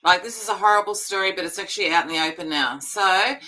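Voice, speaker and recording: Brief: woman host, very bright forceful tone, chatty emphatic commentary. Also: medium pitch, low-quality recording. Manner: chatty, emphatic, quick asides. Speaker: live stream news host. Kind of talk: casual news commentary.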